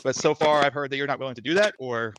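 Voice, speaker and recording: A man with a soft, fairly high voice, arguing his case in an animated, defensive way on a video call, full of restarts. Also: fast, slight background noise, low-quality recording. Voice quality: soft, higher voice. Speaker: guest. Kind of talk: heated casual debate on a livestream.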